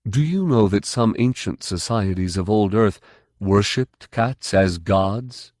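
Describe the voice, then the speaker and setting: A male narrator voicing a solemn, pedantic man who speaks slowly and formally. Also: fairly low pitch, lively intonation, darkish tone, no room sound, studio quality. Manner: slow, deliberate, dryly formal. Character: solemn, pedantic large male trader-scientist.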